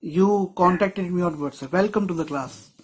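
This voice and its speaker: A man's voice: sits high, normal speed. A man teaching a screenwriting class on a video call, explaining in a loose, rambling way with many restarts. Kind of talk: live online class.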